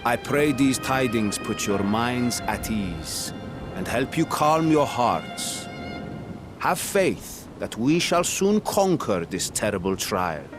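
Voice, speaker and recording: An actor playing a satrap who addresses his people with formal, measured reassurance in a game cutscene. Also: medium pitch, big pitch swings. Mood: solemn. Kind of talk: video game cutscene acting. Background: orchestral music.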